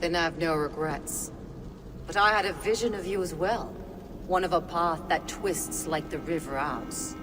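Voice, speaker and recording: A woman's voice: fairly low pitch, normal speed, music in the background, close to an everyday voice. An actress playing a fierce pagan witch and seer in a video game, solemn and defiant, with an incantatory delivery. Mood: resigned. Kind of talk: dramatic video game acting. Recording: long reverb, noisy ambience behind.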